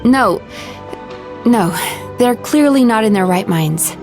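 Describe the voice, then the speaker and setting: A woman voicing a composed, gentle young dream therapist who explains things calmly in an anime-style video game. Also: a little high, takes it slowly, music in the background. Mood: serious, explanatory.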